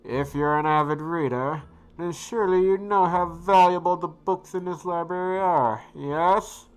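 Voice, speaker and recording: An earnest student mage from a fantasy game, acted by a woman, with a low female voice. The speech is formal, precise and studious. Faint music sits underneath. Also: measured pace, smooth, close to an everyday voice, poor audio. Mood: earnest, composed. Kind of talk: scripted game dialogue.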